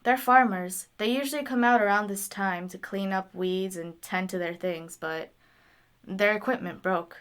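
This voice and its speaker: A woman playing a calm, practical wanderer in audio drama dialogue, with a dry, measured, helpful manner. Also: mid-range voice, smooth, natural voice.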